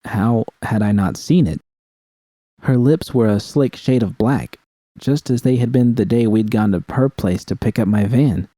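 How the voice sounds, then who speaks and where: A man's voice: fairly low pitch, measured pace, mellow, dry recording. A man narrating scripted first-person fiction as an audiobook reader.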